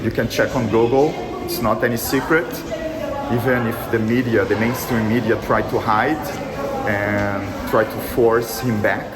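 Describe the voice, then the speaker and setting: A man's voice: medium pitch, steady tone, a little slow, loud music behind the voice. A man from southern Brazil with a Brazilian Portuguese accent, answering a street interview in English, hesitant and earnest, with many fillers and restarts. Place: outdoor polling site in a busy city crowd. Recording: echoey street with loud crowd.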